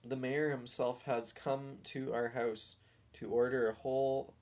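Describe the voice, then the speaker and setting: A man's voice: medium pitch, little pitch movement, measured pace, a little mellow, lightly acted. A man voicing a small, bossy boy in a children's storybook, urgent and scolding. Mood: urgent warning. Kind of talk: children's storybook read aloud.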